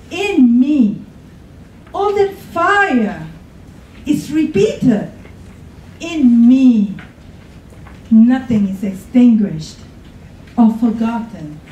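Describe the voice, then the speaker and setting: Woman doing dramatic poem recital, soft dark tone, long pauses, echoey and far from the mic. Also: fairly high pitch. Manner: theatrical, slow, with pauses. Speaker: poetry contest reader. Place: college room, at a podium. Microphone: podium microphone, filmed from the audience. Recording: echoey room, distant, faint noise.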